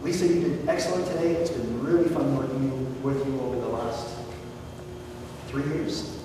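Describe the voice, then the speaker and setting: A man with a big voice that carries, working through a student's test point by point in a measured, encouraging way. He sounds distant from the mic, and a long, booming reverb from a large hall fills in behind his words. There is steady room noise underneath. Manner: big projecting voice, methodical and encouraging. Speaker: martial arts instructor. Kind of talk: spoken feedback at a belt test. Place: large echoing training hall. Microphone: distant camera mic. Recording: echoey hall recorded from far off.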